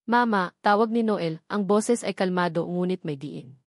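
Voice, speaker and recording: A woman's voice: fairly low pitch, moderate pace, a little mellow, no room sound, studio quality. A woman reading a scripted dramatic story as an audiobook narrator.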